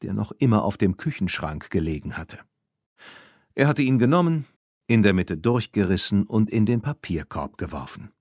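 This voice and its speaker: A man reads a children's story as an audiobook narrator. His voice sits fairly low and has a husky grain, and he tells the tale expressively with very varied intonation. The sound is dry and close. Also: no room sound.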